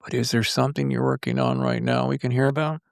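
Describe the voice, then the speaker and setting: A man's voice: mid-range voice, wildly swooping pitch, quick pace, gravelly, dry recording, studio quality. A man hosting a casual podcast interview, rambling chattily and acting out his own thoughts as he talks. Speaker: podcast host.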